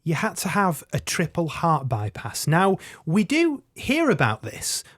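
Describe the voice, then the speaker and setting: A man's voice: on the high side, wildly swooping pitch, measured pace, a bit bright. A man hosting a conversational radio interview and putting questions to his guest.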